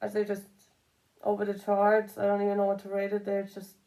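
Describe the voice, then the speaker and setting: A woman's voice: mid-range voice, monotone, brisk, dark tone. A woman giving casual, unscripted opinion commentary, rambling and repeating phrases for emphasis. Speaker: commentary video creator.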